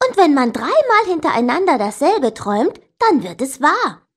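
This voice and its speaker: A woman's voice: high voice, big pitch swings, normal speed, pitched above the performer's own voice. A woman voicing a sly, teasing older sister in a children's story, insistent and emphatic. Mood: insistent, triumphant. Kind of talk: children's story character dialogue.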